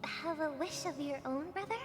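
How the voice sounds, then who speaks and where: A woman's voice: high voice, moderate pace, over music, lightly acted. A woman playing a sweet, hopeful little sister in a video game. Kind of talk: scripted video game dialogue.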